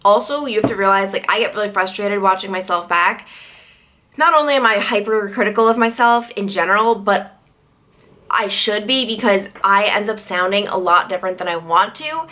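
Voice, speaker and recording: Young woman in her twenties, from Long Island, New York, casual vlog Q&A, bright voice, chatty and full of "like". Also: normal speed. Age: 20s. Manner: chatty, lots of "like", pitch jumps high when excited. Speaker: TV news reporter and vlogger.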